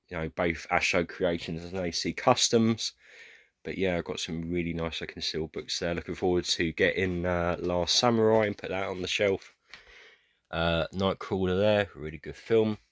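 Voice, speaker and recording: A British man giving a rambling, casual tour of his film shelves, hesitant with many fillers, clearing his throat often as his voice goes hoarse. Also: deep voice, a bit bright, no echo, clean studio sound. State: going hoarse, losing his voice. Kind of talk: casual room tour vlog.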